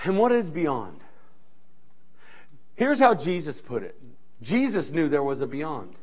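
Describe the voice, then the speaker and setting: Middle-aged male pastor, high-pitched, animated preacher who repeats his points for effect. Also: measured pace. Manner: animated, strolling the stage and gesturing; emphatic, repeating key phrases. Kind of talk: sermon. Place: church stage, indoors. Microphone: handheld mic.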